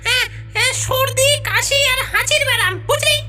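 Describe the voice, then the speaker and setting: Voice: very high voice, sharp tone, heavily acted. A performer voicing a tiny, silly, contrary ghostly imp in a cartoon, repeating words over and over. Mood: argumentative. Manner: contradicting, rapid repetition of words. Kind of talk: cartoon voice acting.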